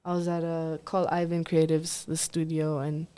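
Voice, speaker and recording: A woman's voice: deep voice, normal speed, clear, resonant tone. A young woman from Kenya, a singer, chatting casually as an interview guest and laughing often between her words. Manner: relaxed, laughs often, fills with "like" and "um".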